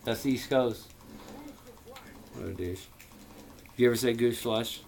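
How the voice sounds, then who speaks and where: A man's voice: medium pitch, unhurried, creaky, a little mellow. A man reading a story aloud informally, with a theatrical, irreverent manner and profane casual asides. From US Midwest. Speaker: amateur audiobook reader.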